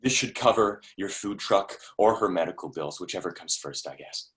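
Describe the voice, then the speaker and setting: A man's voice: sits low, animated, normal speed, fairly bright tone. An actor playing an emotional, bitter and sarcastic man in a staged play reading. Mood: bitter, confrontational. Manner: emotional, sarcastic, stammers when worked up. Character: volatile, bitter man caught in a love affair.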